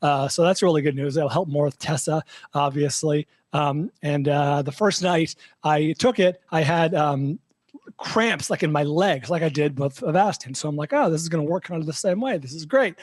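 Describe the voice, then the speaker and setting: A man with a hoarse, raspy voice, a show regular giving a halting, filler-heavy health update in casual podcast chat. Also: fairly high pitch, dry recording, studio quality. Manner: halting, many fillers and restarts.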